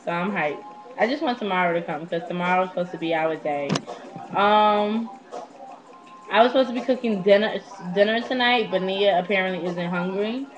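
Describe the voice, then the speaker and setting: A woman's voice: medium pitch, normal speed, over music. Candid young woman on a dull webcam mic. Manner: candid, slangy, profane. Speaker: vlogger. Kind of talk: casual confessional storytelling to webcam. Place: small indoor room at home. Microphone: netbook's built-in webcam mic.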